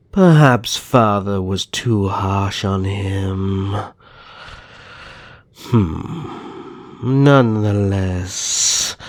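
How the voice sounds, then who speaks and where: Man playing a slow, suave vampire naga kidnapper. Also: lowish voice, darkish tone, no echo, clean studio sound, heavily acted. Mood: soothing, courtly. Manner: slow, courtly, condescending endearments. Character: suave older vampire naga brother, a coaxing, mocking kidnapper. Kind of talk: ASMR roleplay acting.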